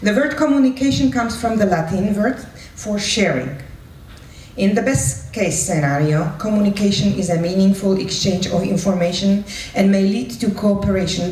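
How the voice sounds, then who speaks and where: Heard from a little way off in an echoing room, a woman gives a live presentation. Her voice sits at a medium pitch as she explains things didactically, punctuating points with brief questions to her listeners. A faint noise runs underneath. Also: darkish tone. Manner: didactic, checks in with short questions. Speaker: presenter and moderator of a participatory one-act play. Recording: roomy, distant mic with faint noise.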